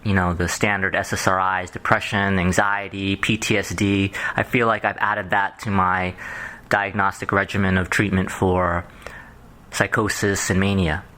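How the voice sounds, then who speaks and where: A man answering as a psychiatrist in a phone job interview, explaining himself in halting, rambling stretches full of clinical terms. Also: sits low, level tone, pushed and bright. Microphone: telephone.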